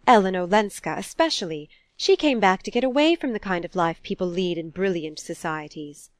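A woman's voice: on the low side, animated, brisk, no echo, studio quality, lightly acted. A woman reading a storybook as a proper, disapproving older society mother, genteel and insistent. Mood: indignant, disapproving.

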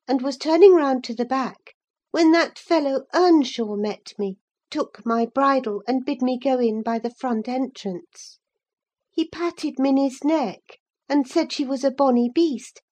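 Woman voicing a haughty, high-pitched, spirited young lady. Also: a little mellow. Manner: exclamatory, mocking. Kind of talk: dramatised classic novel reading.

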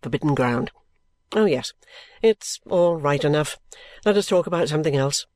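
A woman voicing a careless, easygoing and affectionate young gentleman in an audiobook, with a breezy, musing manner. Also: low voice, lively intonation, normal speed, no echo, voice pushed down. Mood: carelessly breezy. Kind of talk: audiobook character dialogue.

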